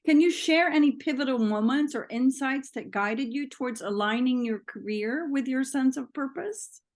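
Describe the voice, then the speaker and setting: Higher-voiced woman interviewer. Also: normal speed. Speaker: interviewer, host. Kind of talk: interview questioning.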